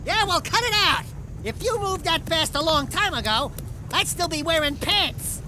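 An actor voicing a cocky, whiny, wisecracking small furry sidekick in an animated video game. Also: male voice, very high-pitched, moderate pace, background noise. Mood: agitated. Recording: loud music behind. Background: loud game music.

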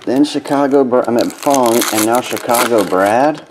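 A man hosting a live stream at a desk, calling out names in a slow, drawn-out casual way. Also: medium pitch. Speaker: card breaker host. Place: indoor desk set with stacked boxes. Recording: noisy room.

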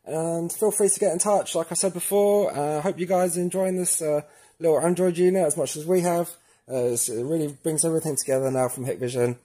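A man's voice: a little high, fairly even intonation, brisk, mellow, husky. A man presenting a how-to tutorial in a casual, informal way, talking straight to viewers. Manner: informal, addresses viewers as "guys". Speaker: tutorial presenter for a tech retailer.

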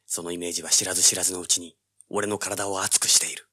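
An actor playing a cocky, hard-boiled young treasure hunter, brash and wry, in a dramatized audiobook. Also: male voice, low-pitched, steady tone, quick pace, pushed and bright, full character voice. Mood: brooding narration. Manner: brash, wry, rough-spoken. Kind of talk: dramatized audiobook acting with first-person narration.

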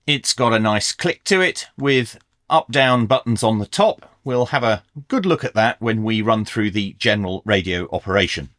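A man's voice: medium pitch, a bit bright, no room sound. A middle-aged man presenting a gear review as a video host, in a small indoor workshop. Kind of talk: scripted product review with hands-on commentary.

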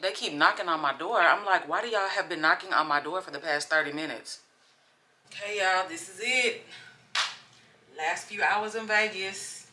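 A young woman vlogging her trip, talking straight to her viewers in a chatty, gushing way. Her voice is bright and forceful. A faint background noise sits under her speech. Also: fairly low pitch, normal speed. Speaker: travel vlogger. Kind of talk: casual vlog chat to camera.

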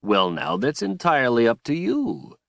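A man's voice: medium pitch, huge pitch swings, moderate pace, vocal fry, a bit bright, no echo, pitched above the performer's own voice, exaggerated voice. A man voicing a cruel male tyrant who speaks in a silky, taunting, menacing way. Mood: mocking.

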